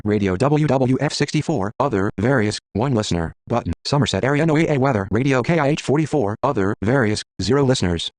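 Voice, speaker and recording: A man's voice: mid-range voice, dry recording. A synthetic male text-to-speech screen reader, reading out list items in a clipped, mechanical, automated way. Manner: clipped, mechanical delivery of names and codes.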